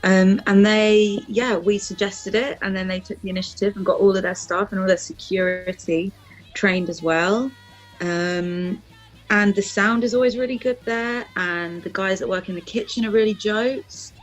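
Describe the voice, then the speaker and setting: A woman's voice: mid-range voice, steady tone, moderate pace, soft background music, poor audio. A woman in a band chatting informally and slangily in an interview. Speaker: band member being interviewed. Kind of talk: casual interview chat.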